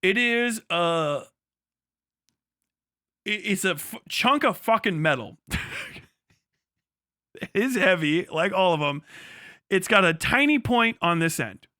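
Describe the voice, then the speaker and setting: A man livestreaming in a casual, crude, profanity-laced storytelling style as he explains things in an animated way. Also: high voice, slow, long pauses, no room sound, clean studio sound. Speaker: livestream host.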